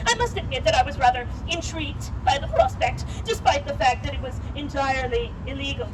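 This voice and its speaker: A woman's voice: high-pitched, brisk, natural voice. A woman playing an elderly, wry and proud lady doctor in a theatrical puppet-show monologue, chatting to the audience with rhetorical asides. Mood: reflective. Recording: distant mic, loud outdoor street noise.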